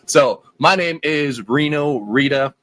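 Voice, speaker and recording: A man's voice: a little high, slow, fairly bright tone, dry recording. A man in his late twenties hosting a podcast, talking casually in a rambling, self-deprecating way with lots of fillers and restarts. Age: 20s. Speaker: podcast host.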